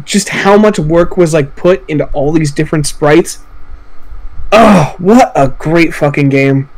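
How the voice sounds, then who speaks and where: A man's voice: on the high side, rough recording. A man hosting a casual podcast chat, swearing freely and ranting with exaggeration. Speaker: podcast host.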